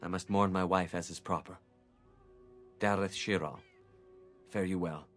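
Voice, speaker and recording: Game elf hunter, middle-aged, low voice, grave, subdued, bereaved. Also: male voice, fairly even intonation, measured pace, soft background music. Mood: subdued grief. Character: grieving middle-aged male elf hunter, gentle husband. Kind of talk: video game character acting.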